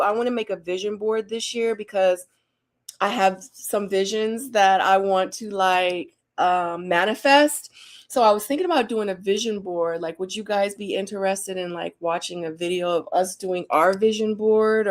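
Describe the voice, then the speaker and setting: A woman chats with her viewers on a live stream, filling her talk with "like" and "y'all". Her was Korean. Her voice sits at an everyday middle pitch. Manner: chatty, says "like" a lot. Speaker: co-host. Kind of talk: casual live-stream chat.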